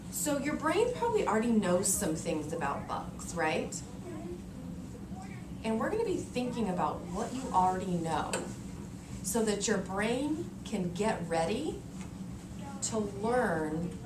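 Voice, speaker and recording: Lively American woman teacher, distant roomy sound. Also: fairly high pitch. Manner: explanatory, thinking aloud, frequent check questions. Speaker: elementary school teacher. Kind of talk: interactive read-aloud lesson for young children. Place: elementary classroom, indoors. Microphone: camera a few meters away. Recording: roomy, distant mic with clear background noise.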